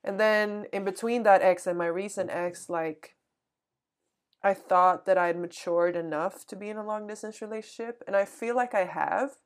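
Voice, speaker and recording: A young woman on a podcast, talking reflectively and hesitantly, often trailing off softly. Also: mid-range voice, normal speed. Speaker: podcast co-host. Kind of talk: casual chat.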